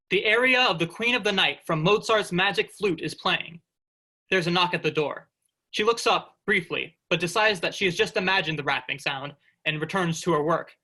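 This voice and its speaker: A man's voice: a little high, bright, forceful tone, rough recording. A man reading stage directions as scripted narration for a play.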